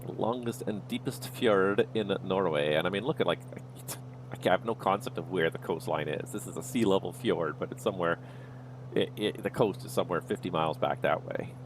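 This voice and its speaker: A man's voice: low-pitched, a little fast, noisy background. A man streaming a flight sim, casually talking himself through each step of the flight as he goes. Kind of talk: livestream commentary, thinking aloud.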